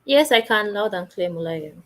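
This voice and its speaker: A woman's voice: mid-range voice, moderate pace. A woman explaining a training program, listing its courses one by one. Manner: steady, lists items one by one. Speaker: program presenter. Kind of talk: informational explanation.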